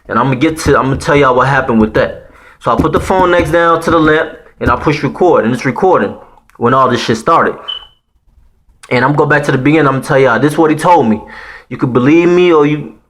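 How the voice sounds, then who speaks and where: Man, 30s, Haitian accent, casual profane storyteller, middle-pitched voice. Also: a bit bright. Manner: slangy and profane, peppered with "man" and "you know what I'm saying". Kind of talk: casual spoken storytelling to viewers.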